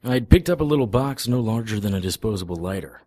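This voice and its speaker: An actor playing a tough, wry occult investigator in a hardboiled radio drama, guarded and gruff in manner. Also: male voice, on the low side, expressive, quick pace, mellow, no echo. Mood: wry, reflective. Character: tough, wry male occult investigator, narrating his own cases.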